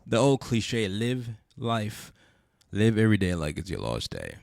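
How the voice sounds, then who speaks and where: A man's voice: on the low side, huge pitch swings, measured pace, vocal fry, a little mellow, no room sound, clean studio sound. A man hosting a podcast with a congested, stuffy voice, speaking earnestly and repeating rhetorical questions. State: congested from a cold. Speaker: podcast host. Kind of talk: reflective spoken monologue.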